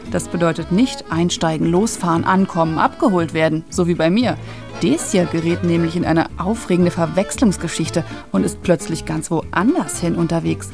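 Low, creaky-voiced woman hosting children's radio. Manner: chatty, addressing young listeners directly. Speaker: radio show host. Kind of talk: scripted introduction for children's radio.

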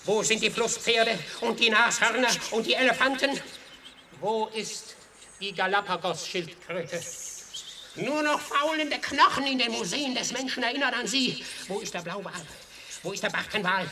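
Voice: mid-range voice, moderate pace, pushed and bright, close to an everyday voice. A performer in a radio play voicing a grave animal prosecutor who accuses mankind in solemn, declamatory oratory. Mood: mournful, accusing. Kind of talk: radio play acting, formal courtroom speech. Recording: distant, noisy radio play sound.

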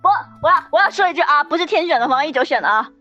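Female banterer, piercingly high, excitable, on a dull lo-fi recording. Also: racing. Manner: loud, excitable, interjecting. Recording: dull lo-fi sound, faint music.

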